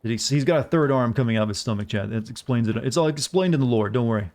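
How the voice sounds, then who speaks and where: A man's voice: medium pitch, fast talker, darkish tone. A man from the southern United States with an American accent, giving casual, rambling live gameplay commentary with jokey, self-deprecating asides to viewers. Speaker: game streamer.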